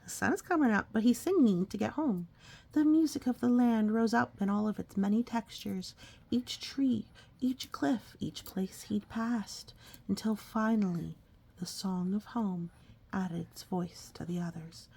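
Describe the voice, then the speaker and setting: A woman's voice: medium pitch, unhurried, gravelly, warm tone, slightly rough. A woman, a mother, reading a picture book aloud and chatting with her small child about it. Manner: asks and echoes a small child's questions. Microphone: phone recording.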